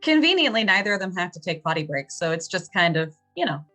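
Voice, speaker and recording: Lower-pitched woman narrating over music. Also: big pitch swings, fast talker, fairly bright tone. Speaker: game master narrating scenes. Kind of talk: improvised conversational game narration. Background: background music.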